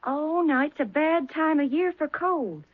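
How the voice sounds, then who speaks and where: A woman's voice: high voice, animated, a little slow. An actress playing a fussing, folksy small-town mother in a 1970s radio drama. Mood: doting. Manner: doting, chatty, folksy. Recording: tinny old radio broadcast.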